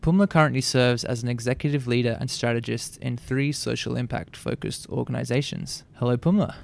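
A man hosts a podcast in a gravelly voice that has some fry in it. He reads a formal introduction and then asks his questions in a calm, measured way. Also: mid-range voice. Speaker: podcast host. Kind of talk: interview hosting.

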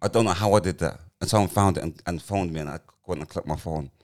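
A man's voice: low-pitched, quick pace, darkish tone. A man in his forties, co-hosting a podcast and musing earnestly in casual chat. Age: 40s. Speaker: podcast co-host, comedian.

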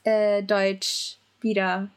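A young woman with a Japanese accent and Japanese as her first language talks slowly in a relaxed chat. She hesitates, fills pauses with "ähm" and gropes for the right words. She laughs easily as she speaks. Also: mid-range voice, clear, resonant tone. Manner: hesitant, searching for words, many fillers; laughs often. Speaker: guest. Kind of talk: casual chat.

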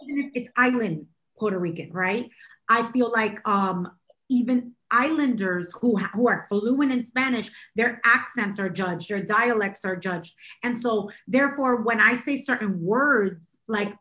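A woman's voice: a little high, unhurried, pushed and bright, low-quality recording. A woman co-hosting a casual podcast chat, talking in an emphatic, opinionated way. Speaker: podcast co-host.

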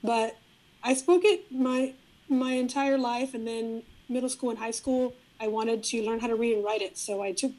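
A middle-aged woman whose first language is Spanish, talking as a guest in a relaxed, reflective interview, often restarting her sentences. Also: fairly high pitch, moderate pace, poor audio. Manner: reflective, frequent restarts and fillers. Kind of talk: casual interview conversation.

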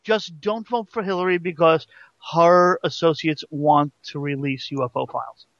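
A man's voice: on the high side, moderate pace, a bit bright, no room sound. A man in casual panel banter, questioning and prodding the others as he talks. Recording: dull lo-fi audio.

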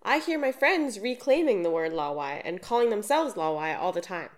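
A woman co-hosting a podcast, reading scripted explanations in a lively, explanatory way. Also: mid-range voice, moderate pace. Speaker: podcast co-host.

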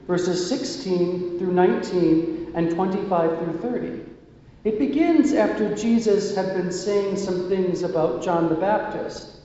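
A man with a high voice and a mellow, warm tone reads scripture at an unhurried, measured pace. His voice rings through a church sanctuary with long, echoing reverb, and the sound is muffled. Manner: slow, measured liturgical reading. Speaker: music director reading scripture. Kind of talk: scripture reading in a church service. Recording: echoey church hall, muffled.

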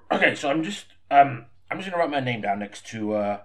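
An actor playing a flustered, bumbling audiobook narrator in improvised comedy, hesitant, stammering and apologetic. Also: male voice, medium pitch, very animated, normal speed, close to an everyday voice. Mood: muddled, hesitant.

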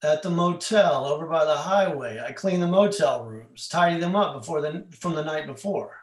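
A man's voice: on the high side, lively intonation, normal speed, natural voice. Nagging, suspicious husband read by a man, echoey room. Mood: veiled menace. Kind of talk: play reading.

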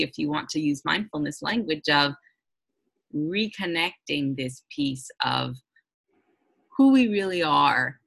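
A woman hosting a reflective talk who thinks aloud, searching for her words with many fillers. Her voice sits very low yet sounds bright and edged, with gravelly fry. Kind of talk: reflective conversation.

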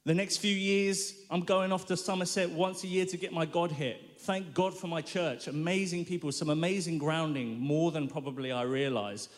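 Guy telling his faith story on stage, funny and self-deprecating, slightly high voice, lots of hall reverb. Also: moderate pace, hardly any pauses. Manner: animated storytelling, self-mocking humour. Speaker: guest speaker. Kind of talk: personal testimony sermon. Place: large hall or tent at a live worship event.

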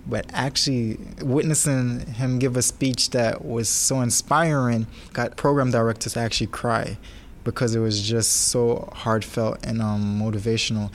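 A young man talks casually and enthusiastically, though his pitch stays fairly flat. Faint background noise sits under his voice. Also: normal speed. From New York. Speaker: student. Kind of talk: interview answer.

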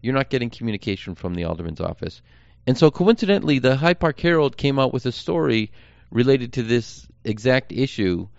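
An American man giving an informal campaign update as a podcast host and candidate, explaining things earnestly in short measured phrases. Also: on the low side, a little mellow, no room sound, highs cut off.

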